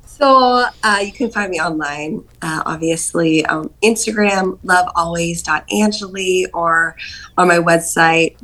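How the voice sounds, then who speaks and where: A woman author being interviewed, telling stories with enthusiasm and lively jumps in pitch. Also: measured pace, poor audio. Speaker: author guest. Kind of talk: casual interview chat.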